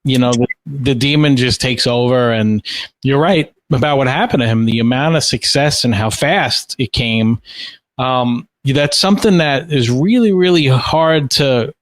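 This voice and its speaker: A man's voice: medium pitch, a little slow, no room sound. Reflective male podcast host, dry sound. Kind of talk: casual interview chat.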